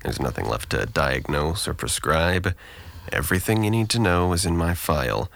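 A man's voice: low-pitched, lively intonation, moderate pace, vocal fry, a bit bright, no room sound, heavily acted. An actor playing a depressed, guarded young man in an audio drama, with a dry, weary, self-deprecating manner.

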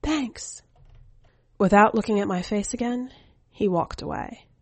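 A woman's voice: medium pitch, moderate pace, dry recording. A woman reading a scripted first-person short story as a podcast narrator. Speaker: podcast editor and story reader.

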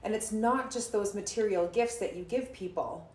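A woman's voice: mid-range voice, moderate pace, a little mellow, echoey room, slight background noise. A woman hosting a conversation, telling a reflective story and thanking her guests. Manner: storytelling, reflective, laughs often. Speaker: host. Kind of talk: warm conversational podcast chat.

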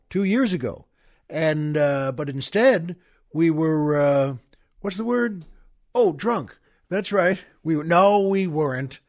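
High-voiced, wry American man hosting a show. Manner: wry, folksy, digressive. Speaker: show host. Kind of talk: rambling, informal podcast introduction.

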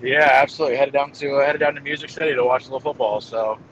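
Man giving halting football picks, muffled sound. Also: medium pitch, steady tone, a bit bright, slight background noise. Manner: hesitant, thinking aloud as he goes. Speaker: football analyst guest. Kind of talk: casual game-prediction commentary.

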